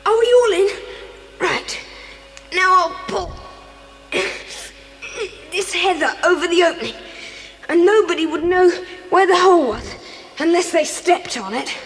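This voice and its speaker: A woman playing a plucky, practical young boy in a children's audio adventure, brisk and exclamatory as the figure takes charge. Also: very high voice, expressive, faint music behind, heavily acted. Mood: urgent, commanding. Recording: noisy, reverberant old cassette dramatisation.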